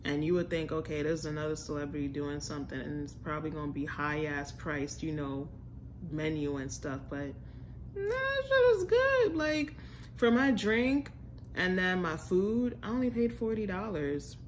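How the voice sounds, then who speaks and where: A woman's voice: sits low, lively intonation, moderate pace, a little hiss or hum. A young woman with an American accent talking casually and informally to camera as a food vlogger. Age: young adult. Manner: informal, chatty, slangy. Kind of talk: casual chat, restaurant review. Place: indoors in a home room with a staircase.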